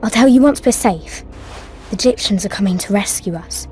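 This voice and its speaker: A woman's voice: medium pitch, expressive, brisk, dark tone, natural voice. An actress playing a brave young girl who talks in a decisive, conspiratorial way in a video game cutscene. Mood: hushed urgent.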